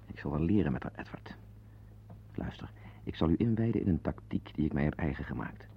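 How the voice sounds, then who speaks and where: Radio drama husband: bitter, neurotic, sardonic confider with swooping intonation, muffled lo-fi tape sound. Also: male voice, very deep voice, expressive, a little fast, gravelly, slightly rough, in a whisper, exaggerated voice. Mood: irritated, confiding. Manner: digressive, sardonic, prone to outbursts. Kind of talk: radio play monologue and dialogue acting.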